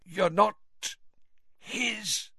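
A man's voice: fairly high pitch, lively intonation, normal speed, a bit bright, no echo, clean studio sound, pitched above the performer's own voice. A man voicing a deranged, exhausted older official who gasps out broken, rambling words. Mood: gasping, desperate. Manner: broken, gasping, rambling speech.

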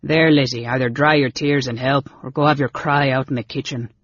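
Man voicing a husky, gruff rustic innkeeper. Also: mid-range voice, fairly even intonation, brisk, a bit bright, dry recording, dull audio, lightly acted. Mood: astonished, brisk. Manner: rustic, folksy dialect, blunt. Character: gruff, weary male innkeeper, blunt and plain-spoken. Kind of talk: fantasy audiobook character.

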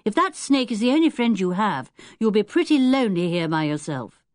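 A woman voicing a sensible older boy who leads the group calmly and firmly. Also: medium pitch, a little fast, no room sound, clean studio sound, lightly acted. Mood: steady. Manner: reasonable, calm, firmly in charge.

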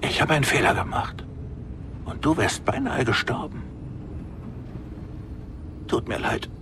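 An actor playing an excitable, soft-hearted gambler pilot, an alien, in a game audio drama, chummy and pleading. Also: male voice, mid-range voice, measured pace, noisy background, natural voice. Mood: pleading, remorseful. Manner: chummy, pleading, wildly swooping delivery. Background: music.

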